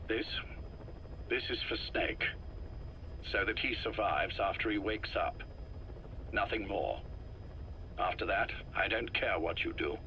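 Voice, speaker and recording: A cool, commanding head of a shadowy organization in a game drama. His low voice is deliberate and slow, with a crisp, forceful edge, over faint noise. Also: low-quality recording. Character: calm, calculating older male leader of secret organization. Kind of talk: dramatic video game voice acting. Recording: faint noise, recorded tape audio.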